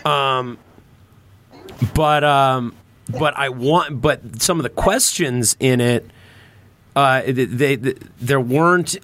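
A man's voice: medium pitch, slow pace, long pauses, fairly bright tone. A man in casual political discussion on a podcast, repeating and rephrasing as he builds his point.

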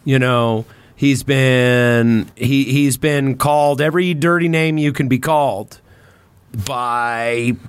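A man's voice: medium pitch, fairly even intonation, slow, clear, resonant tone, no echo. A man talking casually on a podcast as a host, opinionated and emphatic in how he makes his points.

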